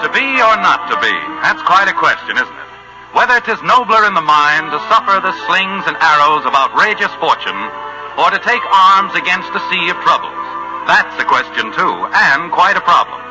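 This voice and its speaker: A man as a genial old-time American radio announcer, polished and folksy. His fairly high voice rises and falls broadly as he presents the show, with music playing behind him. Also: lively intonation, normal speed, close to an everyday voice, poor audio. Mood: genial, presentational. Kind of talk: 1950s radio show announcing, scripted narration.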